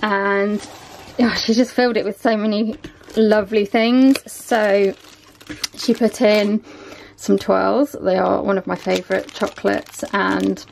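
A woman, chatting casually to camera at home and showing items, with lots of ums and a voice that is going a bit hoarse. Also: medium pitch, level tone, measured pace, a little mellow, slight background noise. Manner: chatty, many ums, shows items one by one. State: losing her voice a bit. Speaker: vlogger. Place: small room at home, indoors.

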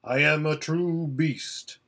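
A man performs a great storybook lion, regal and solemn. He speaks very slowly and with command, stern yet tender, in a husky voice. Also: on the high side, fairly even intonation, a bit bright. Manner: slow, measured, commanding.

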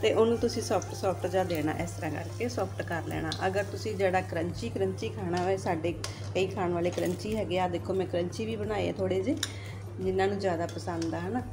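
A woman's voice: lowish voice, quick pace, music in the background. An older woman from Punjab with a Punjabi accent, giving a chatty, homely cooking demonstration in her home kitchen and repeating herself for emphasis. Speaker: cooking vlogger.

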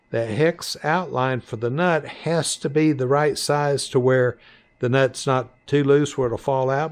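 An older man in his sixties or beyond, informally explaining and demonstrating products in deliberate, halting phrases with pauses. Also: medium pitch, a little mellow. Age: 60s or older. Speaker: maker presenting his 3D printed products. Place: small indoor workshop.

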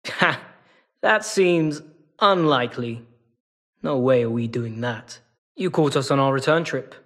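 A sly feline rogue from a video game. The voice sits fairly high for a male and has a husky rasp. He talks in a wry, casual, streetwise drawl, like a weary hustler who is always bargaining. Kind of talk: video game character acting.

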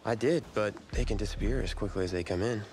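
A man playing a stressed, proud high school football star in a video game cutscene, casual and sardonic with an American accent. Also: fast, a little mellow, a little hiss or hum, natural voice. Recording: background music under the voice.

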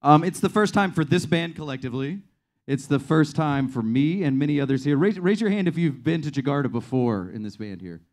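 A man from the United States answering a host's questions on a small venue stage, talking in a relaxed, good-humored way through a handheld mic. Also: a little high, fairly quick. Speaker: band leader.

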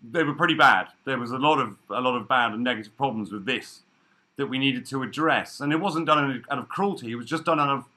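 Man, thirties, bright voice, loose chatty talk with ums, laptop webcam, office room. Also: mid-range voice, a little fast. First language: English. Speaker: workshop speaker. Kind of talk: casual presentation. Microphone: laptop webcam video call.

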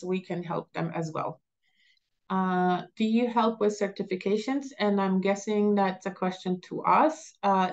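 A middle-aged woman with a Polish accent fields webinar questions over a video call, pausing and restarting often as she answers. Her voice sits at a medium pitch, and the call audio sounds slightly dull and lo-fi. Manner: halting, many fillers and restarts. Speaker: business advisor answering questions.

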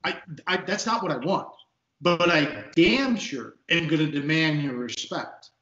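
A man in his fifties from Texas, a police sergeant, speaking as an interview guest in earnest, emphatic talk with a big booming voice, recorded on a video call from an office. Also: measured pace, pushed and bright. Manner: emphatic, repeats words when stressing a point. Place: office at a desk. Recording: echoey, dull video call audio.

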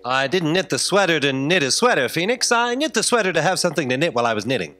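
A man's voice: on the high side, sing-song, fast talker, sharp tone. An actor playing a brooding, eccentric male space detective, terse and emphatic, in a staged audio drama. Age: middle-aged. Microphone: stand microphone on stage.

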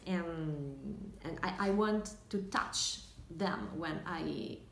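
A young woman from northern Italy with an Italian accent, chatting haltingly to camera as she introduces herself as an actress. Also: on the low side, creaky. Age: young adult. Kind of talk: casual self-introduction to camera. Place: small indoor room, seated at table. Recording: echoey room, faint hiss.

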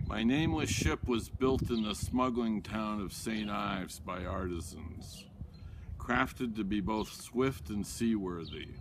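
An older man reading his own poetry aloud in a deliberate, declamatory way. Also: on the low side. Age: older, 60s or 70s. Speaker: poet reading own work. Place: outdoors in a backyard. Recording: outdoor ambience with birds, background noise.

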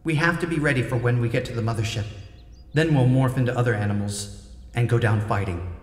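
A man's voice: mid-range voice, brisk. A man voicing a brave, resolute teenage girl in an audiobook, earnest and pleading, with an echoing telepathic effect. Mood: sad resolve. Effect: echoing telepathic-voice reverb. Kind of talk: dramatised audiobook dialogue. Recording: echoing long artificial reverb.

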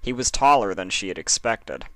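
A man narrating a story as an expressive fiction reader. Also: on the low side, normal speed, no room sound.

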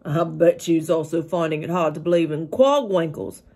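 A gentle old giant talks big and loud, jumbling his grammar and coining odd words, as a woman reads him out of a storybook. He sounds earnest and kindly, a storybook giant. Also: moderate pace, slightly rough. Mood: earnest, insistent. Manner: mangled grammar, odd made-up words, booming.

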